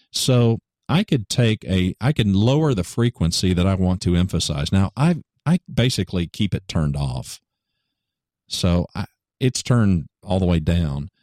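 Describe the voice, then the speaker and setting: A man with a baritone voice talking casually about studio gear, joking and correcting himself, into a studio microphone in a home studio. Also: warm tone, no room sound. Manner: joking, rambling, self-correcting. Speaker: podcaster explaining studio gear. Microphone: studio microphone through hardware processor.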